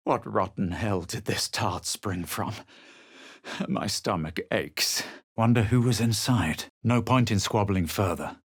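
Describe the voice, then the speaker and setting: An actor playing a haughty, coolly superior fantasy adventurer in a video game, with a husky voice and deliberate delivery. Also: male voice, lowish voice, dry recording, clean studio sound. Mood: haughty, composed. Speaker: video game player character. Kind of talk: scripted game voice lines, narration and remarks.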